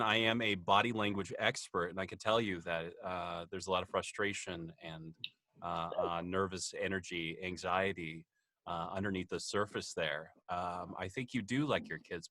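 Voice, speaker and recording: A man's voice: low voice, fairly even intonation, normal speed, bright, forceful tone, dry recording. A man playing a self-assured 42-year-old body-language expert in an improv sketch, hesitating often between points. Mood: know-it-all.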